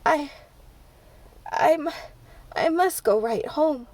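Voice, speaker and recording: A woman voicing a sick, dazed young girl who speaks slowly and haltingly, with a thick voice. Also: high voice, darkish tone, no echo, heavily acted.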